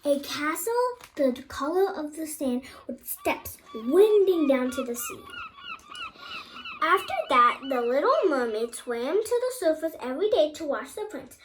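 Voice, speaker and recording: A woman reading a children's story aloud, haltingly, with stumbles and repeated words. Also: sits high, very animated, slight background noise.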